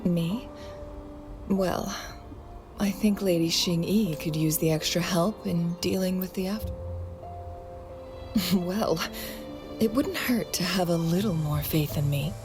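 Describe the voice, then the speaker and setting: A woman voicing a composed, gracious noble lady in a video game, measured and soft-spoken with a gentle teasing manner. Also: on the low side, over music. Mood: coy.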